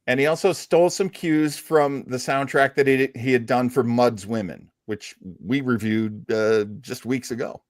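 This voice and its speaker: A man's voice: mid-range voice, moderate pace, few pauses, no echo, studio quality. A man co-hosting a casual fan podcast, talking in an informal conversational way. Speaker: podcast co-host.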